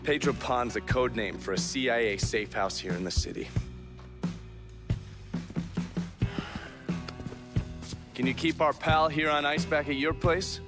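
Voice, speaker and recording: An actor playing a weary, hard-drinking intelligence agent in a video game cutscene, blunt, profane and sarcastic. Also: male voice, mid-range voice, normal speed, over music, natural voice. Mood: sarcastic, impatient. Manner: blunt, sardonic.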